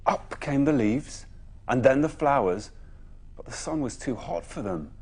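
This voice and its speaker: A man reading a children's bedtime story expressively, with dramatic pauses and emphatic phrasing. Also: medium pitch, lively intonation, a little mellow, husky.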